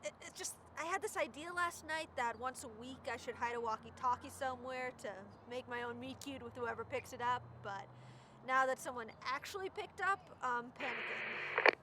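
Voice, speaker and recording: A young woman with a high voice, anxious and hopeful. She rambles and stammers her way along with wry self-deprecation, in the natural style of a web comedy. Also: normal speed, bright, forceful tone, a little hiss or hum. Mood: nervous, hopeful. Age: young adult.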